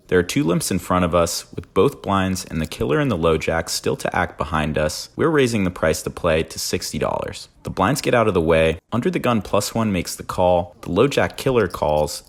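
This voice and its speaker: A man's voice: low voice, creaky. A man in his twenties reading a scripted vlog voice-over as narrator, with dry, wry asides. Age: 20s. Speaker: poker vlogger, narrator.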